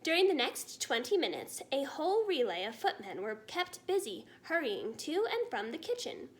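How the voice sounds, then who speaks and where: A woman reading a children's story aloud as an expressive narrator. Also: sits high.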